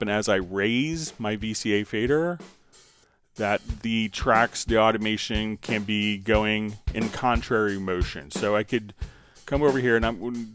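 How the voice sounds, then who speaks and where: A man hosting a live software tutorial, explaining step by step and informally thinking aloud as he demonstrates. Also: fairly low pitch. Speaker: software instructor and livestream host.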